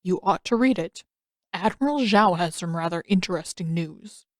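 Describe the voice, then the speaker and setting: A woman voicing a grave older uncle in an audio story, speaking in a calm, formal and measured manner. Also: fairly low pitch, no room sound, studio quality, close to an everyday voice. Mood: grave, steady.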